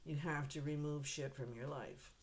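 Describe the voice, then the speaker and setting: A woman doing a casual tarot reading to camera, hoarse and losing her voice, clearing her throat often and speaking to the viewer bluntly and directly. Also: deep voice, fairly even intonation, normal speed, a little hiss or hum. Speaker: tarot reader.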